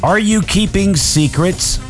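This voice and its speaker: A man's voice: high-pitched, slow, over music. A middle-aged man co-hosting a casual podcast with dry, teasing banter, on a studio mic. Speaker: podcast co-host.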